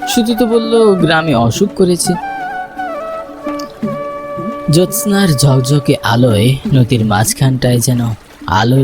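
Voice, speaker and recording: A man playing a homesick, worried village son, narrating a dramatized first-person story in an expressive, reflective way. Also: mid-range voice, lightly acted. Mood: uneasy, reflective. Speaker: narrator and main character.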